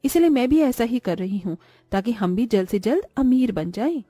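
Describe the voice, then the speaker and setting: A crafty, greedy cartoon mother, voiced by a woman talks persuasively in a mellow, fairly high voice. The recording is dry, with music behind. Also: lively intonation, normal speed, dry recording. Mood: crafty, self-justifying. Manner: self-justifying, persuasive. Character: greedy, crafty young mother selling street food.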